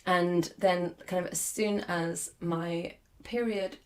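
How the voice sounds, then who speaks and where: A woman in her forties hosting a podcast, hoarse as her lost voice comes back, talking candidly and reflectively with many fillers. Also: lowish voice, steady tone, slow, a little hiss or hum. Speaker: podcast host. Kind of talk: solo podcast monologue.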